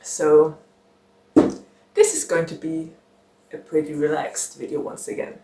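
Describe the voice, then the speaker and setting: Slow, chatty young woman. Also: deep voice, flat delivery, very noisy. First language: German. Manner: chatty, giggly, rambling with many fillers. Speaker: book channel host. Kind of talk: casual chat to camera. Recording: roomy echo.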